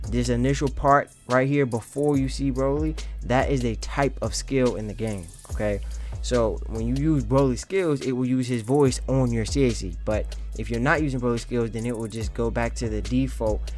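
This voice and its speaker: A man hosting a gaming tutorial, explaining step by step in an informal way, thinking aloud as he goes. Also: medium pitch. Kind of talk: casual step-by-step screen tutorial. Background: music.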